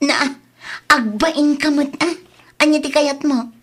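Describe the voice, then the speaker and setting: A woman's voice: sits high, takes it slowly, a bit bright, full character voice. A woman with a Filipino accent playing a fed-up, bossy, nagging village woman in a comedy skit. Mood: scolding. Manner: nagging, scolding. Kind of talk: Ilocano comedy skit acting.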